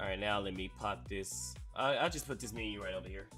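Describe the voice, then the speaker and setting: Hoarse dude hosting a stream, bright punchy voice, slangy and hyped, faint music behind. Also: male voice, lowish voice, a little fast. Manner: slangy, excitable storyteller. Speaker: streamer host. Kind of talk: casual chat on a live stream.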